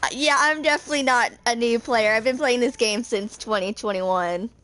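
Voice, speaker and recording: A woman in her twenties with a fairly high voice talks through her gameplay. She rambles casually and thinks aloud, as a streamer does while sorting out what to do next. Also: moderate pace, fairly bright tone. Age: 20s. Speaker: video game streamer.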